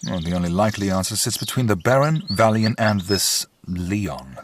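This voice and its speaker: An actor playing a dutiful household servant in an audio drama, speaking in a formal, measured, drily roundabout way. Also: male voice, on the low side, animated, close to an everyday voice. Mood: measured, discreet. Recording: faint background noise with birdsong.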